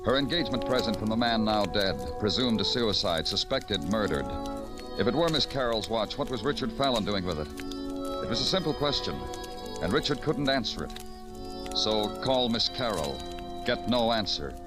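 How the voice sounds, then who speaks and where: An actor playing a weary, brooding police detective in an old radio crime drama, speaking in a measured, poetic noir style. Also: male voice, on the low side, animated, music in the background, close to an everyday voice. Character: weary, brooding male police detective narrating his case.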